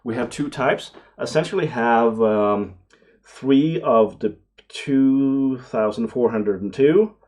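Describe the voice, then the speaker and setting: A middle-aged man talking casually and excitedly as he hosts an unboxing video, rambling along with frequent exclamations. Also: mid-range voice, takes it slowly. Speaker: video host.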